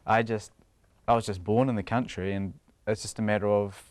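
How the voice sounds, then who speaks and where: A man's voice: on the low side, fairly even intonation, a little fast, dry recording. A young man with a New Zealand accent, chatting casually in a TV interview and laughing often between his thoughts.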